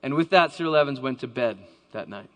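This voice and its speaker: A man's voice: medium pitch, expressive, brisk, highs cut off. Man telling a story in a hall. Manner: dramatic storyteller acting out quoted voices. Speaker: speaker. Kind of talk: storytelling talk to a live audience. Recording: long hall reverb.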